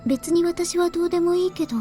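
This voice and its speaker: A delicate young girl from a Japanese visual novel. She speaks very high and quickly, with polite detachment. Game music plays underneath. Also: fairly even intonation, warm tone. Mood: flat, polite. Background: game background music.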